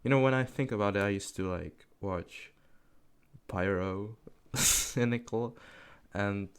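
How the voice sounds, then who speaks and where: A young man of eighteen talks unscripted over his gameplay footage. His voice sits low and gravelly, with plenty of vocal fry. He rambles, swears casually and laughs at himself. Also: slow pace. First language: Czech. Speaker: gaming commentary YouTuber.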